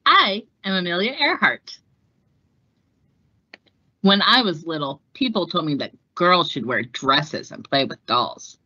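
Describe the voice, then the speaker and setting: A fearless woman aviator read by a storyteller woman recounts her own life with plucky, emphatic deliberation. Her voice is bright and firm, on a slightly dull storybook recording. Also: fairly low pitch, lively intonation, measured pace, long pauses, husky, no room sound, voice pushed down, full character voice. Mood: proudly defiant.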